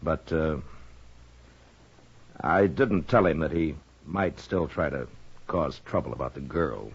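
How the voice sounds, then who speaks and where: An actor playing a gruff, deliberate US marshal in a 1950s American western radio drama, halting and understated. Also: male voice, a bass voice, expressive, heavily acted. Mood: grave, measured. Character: gruff, deliberate middle-aged male US marshal of the Old West. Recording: muffled vintage 1950s radio recording.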